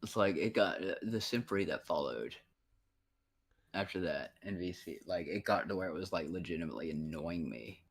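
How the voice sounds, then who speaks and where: A man's voice: sits low, lively intonation, moderate pace, gravelly, husky. A man in his thirties in a casual voice chat, rambling and frank, swearing often. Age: 30s.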